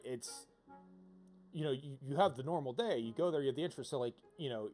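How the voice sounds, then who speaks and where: A man giving casual, rambling game-stream commentary in an animated, digressive way, repeating himself for emphasis. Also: fairly high pitch. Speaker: livestreamer playing a visual novel. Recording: game music behind the voice. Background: video game music.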